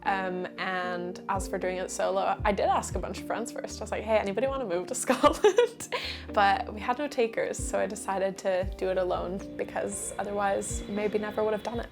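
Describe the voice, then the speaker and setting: A woman's voice: mid-range voice, fairly even intonation, moderate pace, over music. A woman in her early twenties from Canada with a Canadian accent, talking casually in an interview.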